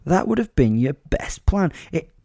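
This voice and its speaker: A man's voice: lowish voice, animated, normal speed, a little mellow, no echo, clean studio sound. A man voicing a sadistic vigilante who gloats and monologues in a pompous, mocking, menacing way, as in an audio story. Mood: mocking, gloating.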